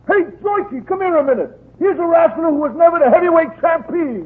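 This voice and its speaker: An actor playing an excitable wrestling club manager in 1930s radio comedy, on a muffled old broadcast. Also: male voice, very high voice, fairly quick, slight background noise. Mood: brisk, amused.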